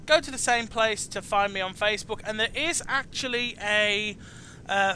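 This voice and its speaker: High-pitched, bright British man, halting. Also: slight background noise. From United Kingdom. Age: 20s. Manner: halting, often repeats words. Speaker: podcast host. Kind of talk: casual online interview chat.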